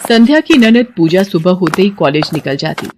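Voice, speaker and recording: A woman narrating a cartoon moral story in an even, plain storytelling manner. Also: fairly low pitch, moderate pace, slight background noise.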